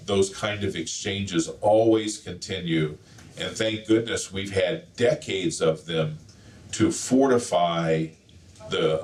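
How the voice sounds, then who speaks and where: A man speaking in a measured, thoughtful way in a documentary interview. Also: low voice, a little hiss or hum. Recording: distant roomy echo.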